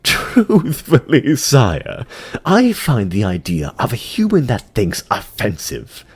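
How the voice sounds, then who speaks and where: A haughty insectoid nobleman from a fantasy audio drama, put on by a man, pitched high and slightly husky. He sounds sly and scornful, with calm, sneering disdain. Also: takes it slowly, full character voice. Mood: smug disdain.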